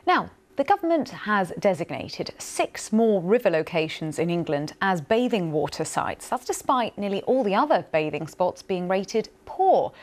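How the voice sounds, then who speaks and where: A woman's voice: medium pitch, big pitch swings, moderate pace, few pauses, darkish tone. A woman presenting television news in a studio, reading stories with light conversational asides.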